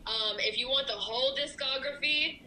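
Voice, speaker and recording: A woman's voice: high-pitched, normal speed, sharp tone, a little hiss or hum, low-quality recording. A woman in her thirties, a rapper guest in a casual interview, laid-back, swearing freely and laughing easily. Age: 30s.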